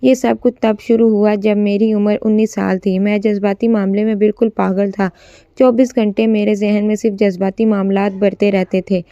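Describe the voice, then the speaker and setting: A woman's voice: mid-range voice, little pitch movement, normal speed, mellow, dry recording, highs cut off. A woman narrating a scripted first-person story, occasionally stumbling as she reads.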